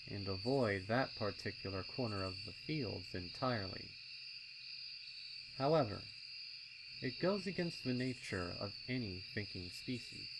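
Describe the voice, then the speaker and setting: Slow, lowish male horror narrator over crickets. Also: heavy background noise. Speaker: story narrator. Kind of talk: dramatic horror story narration. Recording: loud night insect ambience behind voice. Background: crickets and insects.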